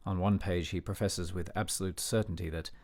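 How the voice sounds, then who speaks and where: An actor plays an older, educated gentleman narrating a period radio drama in a low voice. He is measured and formal, with a dignified, censorious air. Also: fairly even intonation. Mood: measured, reflective. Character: disapproving, educated older man narrating a memoir.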